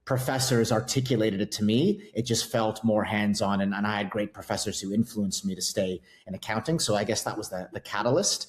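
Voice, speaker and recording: Low-voiced Canadian man, conversational. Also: steady tone, a little fast, few pauses. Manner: conversational, filler-heavy, self-deprecating. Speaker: guest CFO. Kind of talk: podcast interview.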